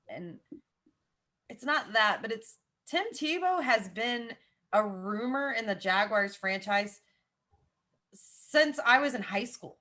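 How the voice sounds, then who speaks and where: A Southern American woman in her thirties talking as a guest on a podcast. Her voice is bright and forward. She delivers strong opinions in an animated way, piles on asides and repeats herself for emphasis. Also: medium pitch. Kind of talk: casual podcast chat.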